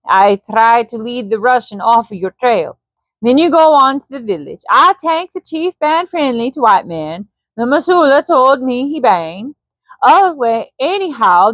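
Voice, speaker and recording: A woman's voice: on the high side, no echo. Woman doing a Swedish guide, man, blunt and loyal, broken English, raised voice, dry sound. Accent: Swedish (put on).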